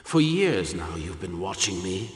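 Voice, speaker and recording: Slow, low, echoing megalomaniac villain. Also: male voice, very animated. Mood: cold, contemptuous. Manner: theatrical, gloating, deliberate. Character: megalomaniac villain, mad scientist son, cold and menacing. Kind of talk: dramatic video game villain acting. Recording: echoey hall, long reverb.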